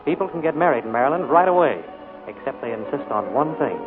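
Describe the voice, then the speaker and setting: A man's voice: a little high, lively intonation, fast, music in the background, lightly acted. A tender, self-assured suitor, played by a man in an old radio romance. He has a lightly raised, lilting voice that moves around a lot. The recording is tinny and narrow. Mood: teasing, romantic. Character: confident, romantic male suitor proposing elopement. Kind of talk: 1940s radio romantic comedy acting.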